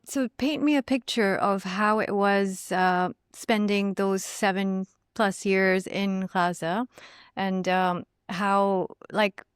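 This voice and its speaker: A woman's voice: medium pitch, clear, resonant tone, no room sound, clean studio sound. Gracious, effusive woman podcast host. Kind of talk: podcast interview.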